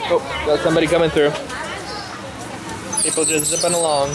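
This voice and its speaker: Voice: mid-range voice, flat delivery, fairly quick. A travel vlogger giving casual walking commentary in short exclaimed phrases at an outdoor market. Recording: busy street market noise. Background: loud market crowd and vehicles.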